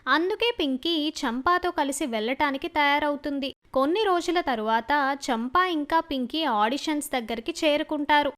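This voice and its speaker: Woman storyteller reading a scripted tale, pretty high voice. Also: normal speed, hardly any pauses, fairly bright tone. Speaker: narrator.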